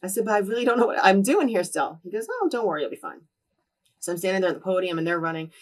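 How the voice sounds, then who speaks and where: A woman's voice: medium pitch, rapid-fire, recorded from a distance. A woman in her thirties from New Jersey, an interview guest telling stories casually and acting out the dialogue of the people in them. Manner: lively storyteller who acts out quoted dialogue. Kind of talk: casual storytelling in a sit-down interview.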